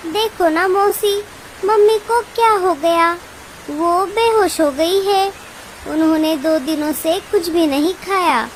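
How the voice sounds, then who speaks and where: A woman's voice: very high-pitched, takes it slowly, slight background noise, rough recording. A woman voicing an anxious young girl bird in a cartoon, childlike and pleading. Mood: distressed. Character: anxious young chick, eldest daughter of bird family. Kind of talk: cartoon dub acting.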